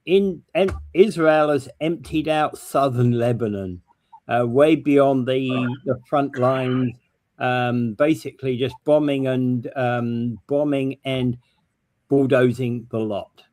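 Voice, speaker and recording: A man co-hosting a morning news show, with a mid-pitched voice that sounds dry and close. He speaks haltingly, pausing on fillers as he gathers his thoughts. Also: steady tone, a little mellow, no room sound. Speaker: co-host. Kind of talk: casual news talk.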